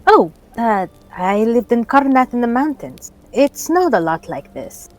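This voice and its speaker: A woman's voice: on the high side, sing-song, a little slow, a little mellow. A woman playing a tabletop game, casually narrating and speaking as her character. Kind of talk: casual in-character role-play.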